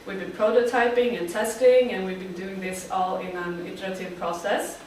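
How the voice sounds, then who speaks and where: A woman from Sweden presents her team's project to an audience, pausing on frequent fillers. Her voice sounds distant in a big, very echoey hall, with faint room noise behind it. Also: medium pitch, fairly even intonation, a little mellow. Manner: speaks with frequent uh fillers, friendly and plain. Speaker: student team presenter.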